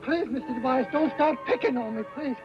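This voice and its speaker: A man's voice: very high-pitched, a little fast. An actor playing a jittery, pleading young frontier boy in a radio western. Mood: nervous, pleading. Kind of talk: old-time radio western acting. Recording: dull old radio recording, music behind.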